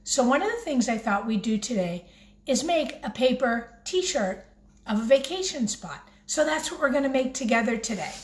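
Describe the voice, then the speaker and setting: A woman teacher gently and encouragingly talking young children through a craft in simple words as she demonstrates it. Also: fairly high pitch, lively intonation, moderate pace. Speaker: primary school teacher leading a craft. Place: home kitchen, by the refrigerator. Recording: echoey room with faint background noise.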